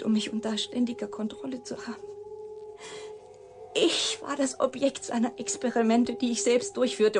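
An actress playing an embittered, secretive woman scientist in a German radio drama, deliberate and intense in delivery. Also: a little high, close to an everyday voice. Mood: bitter, intense. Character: embittered woman scientist, secretive product of her father's experiment.

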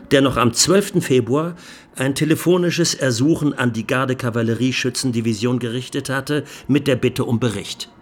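Incisive, emphatic male trial lawyer. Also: mid-range voice. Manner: emphatic, rhetorical, formal. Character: male trial lawyer, indignant and incisive, arguing before judges. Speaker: lawyer in court. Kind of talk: courtroom plea in a radio drama.

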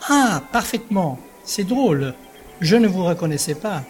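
An actor playing a courteous, absent-minded court president in an audio drama, stiffly polite and elaborate in phrasing. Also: male voice, sits high, very animated, normal speed, faint music behind. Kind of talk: French audio drama acting.

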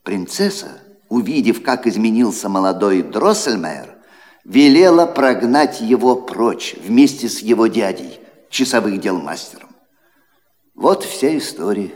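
A man's voice: on the low side, big pitch swings, a little slow, a little mellow. An actor playing a kindly elderly godfather clockmaker, telling a fairy tale in a theatrical, teasing way for an audio play. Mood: dramatic storytelling. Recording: long hall reverb.

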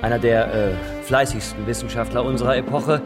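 A man playing a solemn, halting academy member giving a formal speech in an audio drama. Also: medium pitch, steady tone, natural voice. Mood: mock solemn. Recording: music behind the voice.

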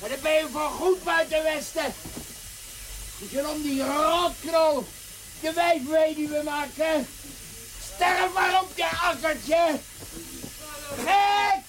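An actor playing a rough, hot-tempered miner in a television drama, coarse, sarcastic and confrontational. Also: male voice, extremely high pitch, takes it slowly, pauses often. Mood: frantic anger. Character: rough, foul-mouthed, defiant male miner, hot-tempered. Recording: hissy, noisy old TV soundtrack.

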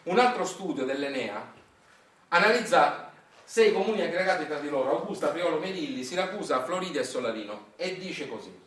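Man, Sicilian accent, higher-pitched voice, declamatory stage storytelling, echoey and distant. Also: animated, brisk, a bit bright. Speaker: stage monologist. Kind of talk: theatrical narrative monologue. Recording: echoey room, far from mic.

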